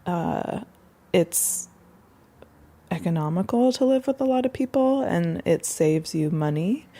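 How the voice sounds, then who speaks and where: A woman's voice: on the low side, lively intonation, unhurried, dark tone. A woman speaking casually and reflectively in an interview. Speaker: resident of a collective house, interviewee.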